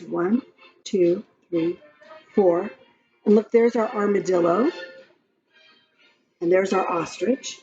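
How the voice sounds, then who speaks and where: A woman with a mellow, slightly high voice reads a children's story aloud at an unhurried pace. Her delivery is expressive and sing-song, with chatty asides to small listeners. The recording is a little dull, with music behind her. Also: breathy edge. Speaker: storyteller reading a picture book aloud.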